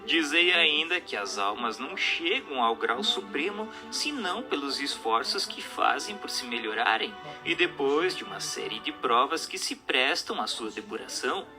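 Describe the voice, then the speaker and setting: A man's voice: medium pitch, big pitch swings, moderate pace, hardly any pauses. A Brazilian man reading a spiritual text aloud as an audiobook narrator, in a declamatory, didactic and emphatic manner. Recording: narration over music.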